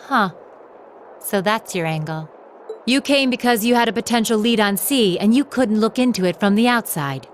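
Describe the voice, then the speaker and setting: An actress playing a shrewd young woman in a video game, speaking in a measured, probing way. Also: a little high, expressive, slight background noise, close to an everyday voice. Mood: knowing, probing. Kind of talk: game dialogue acting.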